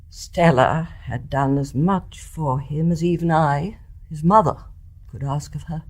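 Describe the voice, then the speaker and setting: An actress playing a grave, resolute older British mother in a radio drama, speaking in a measured, refined and deliberate way. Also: low voice, a little mellow, breathy edge. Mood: tender, sorrowful. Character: grave, resolute older English mother confessing her deed.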